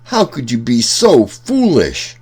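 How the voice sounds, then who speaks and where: A man plays a poor widowed mother in a children's storybook, her voice lifted high and swinging widely. She is overworked and distraught, pleading and exclaiming as she scolds. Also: huge pitch swings, takes it slowly, a little mellow, breathy edge, full character voice. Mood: angry. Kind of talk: children's storybook read-aloud.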